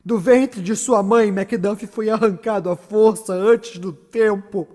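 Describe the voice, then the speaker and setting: A haunted, guilt-ridden tyrant with a Brazilian accent and a high-pitched male voice. The delivery is theatrical and declamatory, a grand Shakespearean stage monologue. Every line rings in a long, echoing reverb. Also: steady tone, takes it slowly, darkish tone. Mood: tense, defiant. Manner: dramatic, declamatory, swinging from murmur to cry. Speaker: stage actor. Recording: long reverberant echo around the voice.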